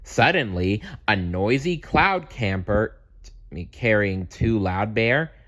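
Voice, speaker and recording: A man narrating a children's story aloud with expressive, deliberate phrasing. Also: fairly low pitch. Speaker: storybook reader.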